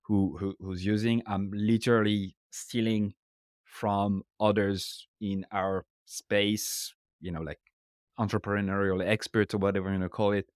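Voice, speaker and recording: A man's voice: lowish voice, flat delivery, a little slow, no room sound, clean studio sound. A man from France with a French accent, English not his first language, explaining ideas casually as a podcast guest and often checking in with "right?". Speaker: author and guest. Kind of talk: casual interview talk.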